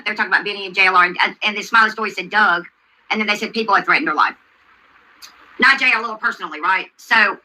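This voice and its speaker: A woman's voice: medium pitch, bright, forceful tone, rough recording. A woman hosting a livestream, commenting on a video and answering chat in an insistent way, repeating herself and asking questions. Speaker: livestream host.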